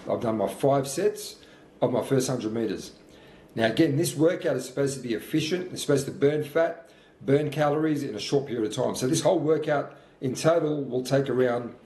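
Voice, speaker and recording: A man's voice: medium pitch, darkish tone, reverberant, poor audio. A man of about fifty, talking through his own sprint workout as a fitness coach, motivational and matter-of-fact. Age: 50s.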